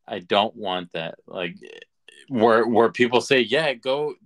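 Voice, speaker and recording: Laid-back man with a lowish voice. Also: lively intonation, slow. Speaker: podcast co-host. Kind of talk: casual movie chat.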